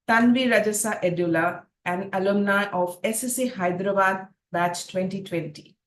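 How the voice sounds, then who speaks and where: A woman moderating an online panel who gives formal, gracious introductions and questions. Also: mid-range voice. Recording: echoey room with faint noise.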